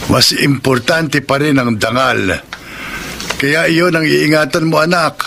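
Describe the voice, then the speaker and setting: A man acting a fond, proud older father in a Filipino radio drama, speaking with a Filipino accent in an earnest, measured, gravelly way. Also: fairly high pitch, fairly even intonation, pushed and bright, a little hiss or hum, full character voice.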